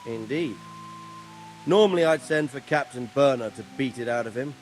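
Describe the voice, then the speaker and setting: An actor playing an authoritative nobleman who gives brisk orders in a video game cutscene. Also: medium pitch, faint music behind, close to an everyday voice. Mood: commanding. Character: commanding nobleman, the squire's lord.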